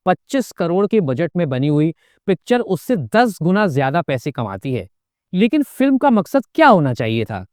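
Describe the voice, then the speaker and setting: A man's voice: high voice, a little mellow, no room sound, studio quality. A man narrating a scripted political commentary, pointed and emphatic, with sarcastic asides. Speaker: political video essayist.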